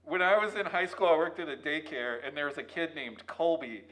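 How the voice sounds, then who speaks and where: A man's voice: a little high, a little fast, a bit bright, rough recording. A man hosting a casual interview with quippy, bantering questions and asides. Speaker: interviewer, host. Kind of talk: casual, jokey interview chat.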